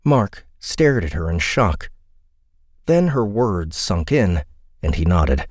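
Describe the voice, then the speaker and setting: A man's voice: sits low, very animated, slow, a little mellow, no room sound, clean studio sound. A man narrating a fantasy audiobook in a dramatic, scripted storytelling style.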